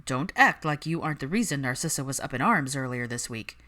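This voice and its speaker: A woman voicing a composed, wry, probing man of an old wizard family, with a smooth, measured manner in audiobook dialogue. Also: rumbling low, lively intonation, bright, forceful tone, exaggerated voice.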